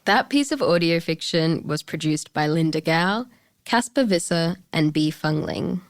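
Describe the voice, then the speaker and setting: Woman radio host reading scripted intros, lowish pitch, really dry close sound. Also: lively intonation, a little slow, dry recording. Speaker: radio show presenter.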